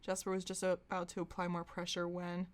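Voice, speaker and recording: A woman's voice: lowish voice, monotone, a little fast. A woman podcast host reading fan fiction aloud for comedy, prone to giggling and dropping in her own asides. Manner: giggly, breaks into laughter while reading.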